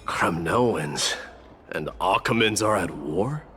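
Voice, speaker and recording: Slow, stern, grim warrior. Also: male voice, low voice, animated, pushed and bright, slight background noise, heavily acted. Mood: wary. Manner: solemn, terse, deliberate. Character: stern, grave male warrior, grim and proud. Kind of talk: fantasy video game acting.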